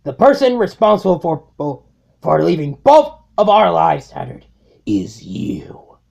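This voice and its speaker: A woman playing a cold, contemptuous robot warlord in a comic dub, speaking with slow, grandiose menace. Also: on the low side, huge pitch swings, vocal fry, darkish tone, lo-fi sound, exaggerated voice. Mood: bitter. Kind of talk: comic dub dramatic acting.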